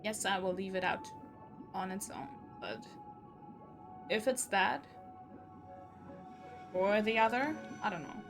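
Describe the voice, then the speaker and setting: A woman's voice: mid-range voice, takes it slowly, long pauses. A woman streamer commenting live on a game, outspoken and emotionally invested as she reacts aloud. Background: faint game music.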